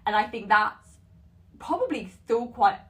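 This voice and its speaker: Woman in her 20s, chatty podcast cohost, keeps restarting sentences, allergy-deep voice. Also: sing-song, measured pace, recorded from a distance. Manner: rambling, self-interrupting, laughs at herself. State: voice deeper than usual from allergies. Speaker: podcast co-host. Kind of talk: casual chat.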